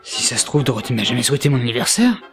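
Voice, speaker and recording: A man's voice: mid-range voice, sing-song, very fast, natural voice. A man playing a theatrical, mournful, disillusioned character in a comedy sketch. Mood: bleak. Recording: music behind the voice.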